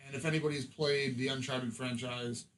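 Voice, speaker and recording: A man's voice: mid-range voice, fairly even intonation, moderate pace, fairly bright tone. A man co-hosting a podcast, talking in casual, conversational style. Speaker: podcast co-host.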